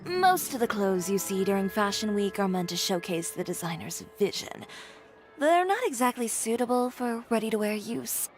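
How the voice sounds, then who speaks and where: A poised, ambitious fashion designer from a video game, speaking in a cool, matter-of-fact way with a dry edge. Her pitch sits mid-range for a woman. Music plays softly behind her. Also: sing-song, moderate pace. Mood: businesslike. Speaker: boutique owner.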